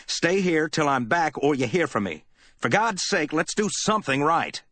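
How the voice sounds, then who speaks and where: A man reading an audiobook, voicing a quick-tempered tough detective who talks loud, harsh and clipped. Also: fairly high pitch, very animated, dry recording, voice pushed up, exaggerated voice. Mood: angry.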